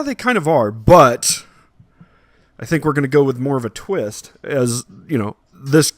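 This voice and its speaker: A man's voice: mid-range voice, fairly bright tone, dry recording. A man co-hosting a podcast, chatting casually and informally in a somewhat hesitant, rambling way. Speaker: podcast co-host. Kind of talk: casual chat about films and games.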